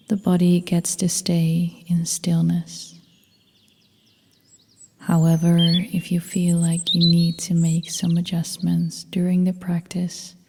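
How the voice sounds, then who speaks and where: A woman guiding a meditation as a yoga nidra instructor, speaking in a soft, breathy half-whisper with a calm, gentle, soothing manner. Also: lowish voice. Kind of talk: guided meditation narration. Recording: long reverb, faint noise with birdsong.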